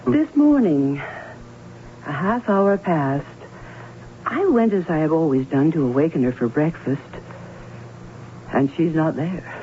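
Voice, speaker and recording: An actress playing a distraught Baron's sister in a radio drama, telling of a haunting in earnest, formal, dramatic tones. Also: female voice, low-pitched, expressive, a little slow, long pauses. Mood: grave distress. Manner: earnest, dramatic, formal old-fashioned storytelling. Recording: tinny narrow-band old radio with hiss and hum.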